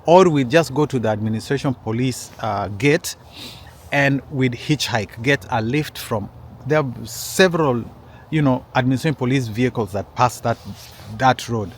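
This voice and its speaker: A man's voice: mid-range voice, normal speed, a little hiss or hum. Male storyteller, mid-range voice, casual and digressive, keeps addressing listeners. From Kenya. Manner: rambling, with frequent restarts and asides to listeners. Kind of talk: casual personal storytelling to an audience.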